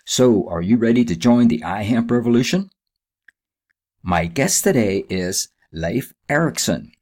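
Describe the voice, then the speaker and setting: A man's voice: mid-range voice, animated, a little slow, a little mellow. A man hosting a podcast, reading an upbeat, list-like show intro and then asking his guest questions. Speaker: podcast host.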